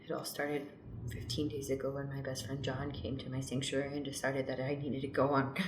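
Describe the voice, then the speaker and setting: A woman's voice: a bass voice, monotone, brisk, slight background noise. A woman playing a naive, earnest spiritual seeker from a sheltered sanctuary, acting dramatically in an improvised comedy radio play. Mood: dreamy, earnest. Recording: echoey room.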